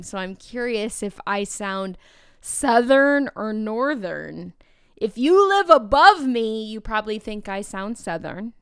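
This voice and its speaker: A woman hosting a podcast for teachers, talking in an energetic, chatty, enthusiastic way. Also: medium pitch, clear, resonant tone, no echo, studio quality. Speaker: podcast host. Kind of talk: upbeat podcast talk to teachers.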